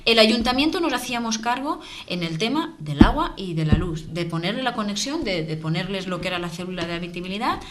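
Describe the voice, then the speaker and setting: A woman's voice: medium pitch, animated, a little fast, hardly any pauses, background noise. Indignant Valencian woman councillor. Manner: earnest, indignant, keeps explaining. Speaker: town councillor. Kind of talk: local TV debate.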